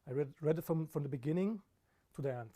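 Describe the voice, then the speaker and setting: A man recounts in an interview how he came to Judaism, speaking in an animated, emphatic way. His voice is warm and of middle pitch. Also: fast. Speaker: student. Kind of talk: interview answers.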